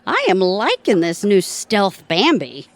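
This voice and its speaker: A woman's voice: medium pitch, wildly swooping pitch, takes it slowly, dry recording, heavily acted. A woman playing a wry, bossy, scheming wife in a comic audio play, with a dry, sardonic manner. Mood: conspiratorial. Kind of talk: comic audio theatre acting.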